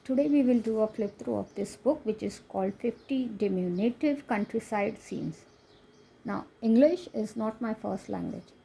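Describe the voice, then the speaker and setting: A woman's voice: mid-range voice, moderate pace, gravelly, mellow, a little hiss or hum, low-quality recording. A woman from India with an Indian accent, whose first language is Konkani, chatting casually through a coloring book flip-through with frequent laughs. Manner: chatty, laughs often, self-deprecating asides.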